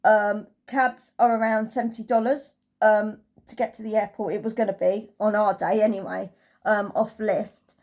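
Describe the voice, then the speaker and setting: A woman's voice: mid-range voice, little pitch movement, normal speed, a little mellow. A woman giving a casual, chatty holiday review as a travel vlogger, telling it through anecdotes.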